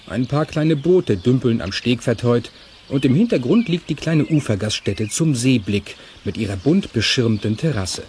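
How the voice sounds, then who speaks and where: A man narrating a children's radio play as a calm, measured and gently wry storyteller. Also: mid-range voice, slight background noise, lightly acted.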